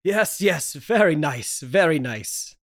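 A man playing a nervous, secretive young husband in a table read of an old radio horror play, halting, repetitive and defensive. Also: fairly high pitch, expressive, full character voice. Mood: uneasy.

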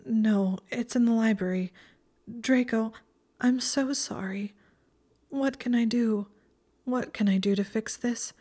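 A woman's voice: medium pitch, normal speed, a little mellow, natural voice. A woman voicing an anguished, guilt-ridden and fierce young female student in an audiobook, urgent and insistent. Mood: anguished, apologetic.

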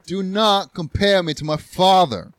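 A man's voice: sits high, measured pace, clean tone, voice pushed up. A man playing a bitter husband in a marital fight, scornful and emphatic as he accuses. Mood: indignant.

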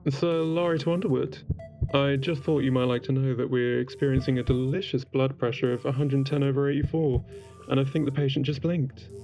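An actor playing a playful, loyal spaceship captain in a sci-fi audio drama, with a jokey, mock-formal manner. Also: male voice, medium pitch, level tone, brisk, mellow, soft background music, lightly acted. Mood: jaunty.

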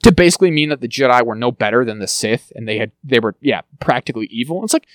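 A man co-hosting a podcast, chatting casually and quickly, with lively asides and quick mock voices. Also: mid-range voice, no echo. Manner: fast, animated, breaks into quick mock impressions. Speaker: podcast co-host. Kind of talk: casual chat about TV shows.

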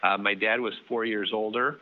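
A middle-aged man telling a family story as an interview guest, relaxed and full of fillers and restarts. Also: on the low side, moderate pace, narrow, tinny audio.

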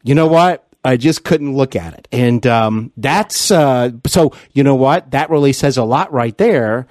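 A man's voice: mid-range voice, measured pace, a little mellow, no room sound, clean studio sound. A man hosting a conversational interview, speaking in an effusive, complimentary way. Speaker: podcast host and interviewer.

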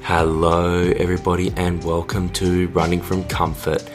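A hoarse man with a cold, a podcast host giving an upbeat introduction and speaking directly to listeners. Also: deep voice, monotone, moderate pace. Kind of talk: scripted show intro. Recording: music bed under voice.

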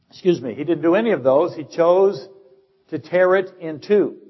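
A man's voice: a little high, expressive, a little slow, breathy edge. An older man preaching a sermon as pastor, firing off rhetorical questions to teach his congregation. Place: church sanctuary. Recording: dull, echoey church sermon recording.

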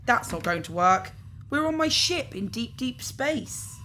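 A woman's voice: on the high side, lively intonation, a little slow, bright, forceful tone, a little hiss or hum. A woman playing a casual, apologetic organ harvester in a science fiction audio drama, talking in an awkward, nonchalant way. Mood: matter-of-fact, apologetic. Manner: nonchalant, hesitant, politely awkward.

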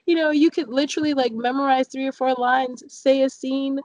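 A woman in her thirties from London, telling personal stories casually and jokingly as an interview guest, with animated emphasis. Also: high-pitched, a little fast, no echo.

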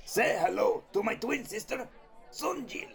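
A man voicing a loud, childish, overprotective brother in an audio drama. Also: high-pitched, a little slow, gravelly, loud music behind the voice, full character voice. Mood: boisterous. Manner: excitable, shouty, childish. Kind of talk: fan audio drama acting.

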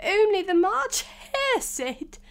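A woman's voice: extremely high pitch, big pitch swings, takes it slowly, pitched above the performer's own voice, heavily acted. A woman voicing a timid, wretched man in a storybook reading, pleading and stammering in a tearful, trembling voice.

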